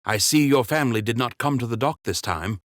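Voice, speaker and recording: A man's voice: medium pitch, lively intonation, brisk, no echo, studio quality, pitched above the performer's own voice, natural voice. A man voicing a loyal, reserved rabbit ship captain who speaks politely and respectfully in an audiobook.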